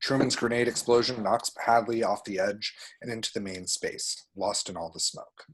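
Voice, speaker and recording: Husky, lower-voiced man reading screenplay action. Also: steady tone, normal speed, poor audio. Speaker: table-read action narrator.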